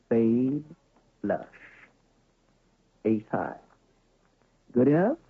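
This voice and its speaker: An actor playing a defiant poker player in an old radio drama, answering curtly. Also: male voice, medium pitch, big pitch swings, drawn-out words, slightly rough, no echo, full character voice. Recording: tinny lo-fi old radio broadcast.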